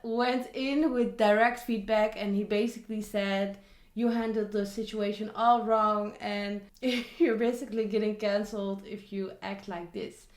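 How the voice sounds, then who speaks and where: A woman's voice: medium pitch, fairly even intonation, unhurried. A young woman, chatting casually on camera about a book she read and adding many asides. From Netherlands. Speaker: vlogger. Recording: echoey room.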